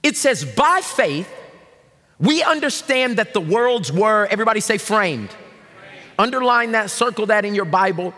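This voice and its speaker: A man in his thirties preaching a sermon from a large church stage, animated and shouting call-and-response lines to the congregation. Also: high voice, normal speed. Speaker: pastor. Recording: long reverb of a large hall.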